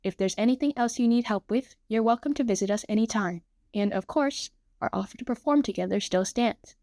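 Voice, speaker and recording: A calm, polite young man virtual singer who speaks kindly and evenly, as a woman puts him on. The voice stays high, recorded dry. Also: brisk, no room sound, lightly acted.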